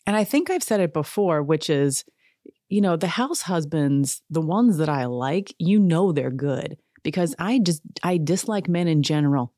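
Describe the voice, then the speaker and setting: Low-voiced sarcastic woman podcaster. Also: lively intonation, moderate pace, dark tone, no room sound, studio quality. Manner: sarcastic, chatty, laughs often. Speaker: podcast host. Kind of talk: casual chat.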